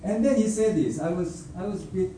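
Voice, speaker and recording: A man preaching a sermon and telling a personal mission story, speaking deliberately with pauses and filler words. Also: high-pitched, dark tone, distant mic, slight background noise. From raised by a North Korean father. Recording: echoey hall, speaker away from the mic.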